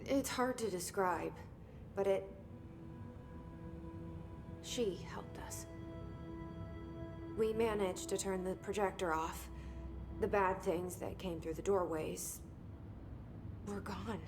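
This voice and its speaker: A woman's voice: medium pitch, takes it slowly, long pauses, music in the background. An actress playing an earnest, determined young woman in a video game, sincere and understated in delivery. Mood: haunted, earnest. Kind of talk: video game cutscene acting.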